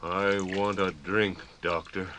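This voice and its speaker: An actor playing a surly, defiant young convict in a 1940s radio drama, blunt and challenging. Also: male voice, sits low, flat delivery, slow, sharp tone, slight background noise, heavily acted. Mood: angry defiance. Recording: vintage 1940s radio recording.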